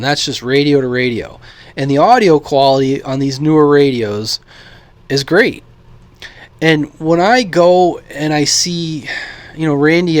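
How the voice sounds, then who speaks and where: A man's voice: a little high, no echo. An American man giving a casual, opinionated vlog monologue in a blunt, informal, rambling way, as a radio hobbyist. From United States.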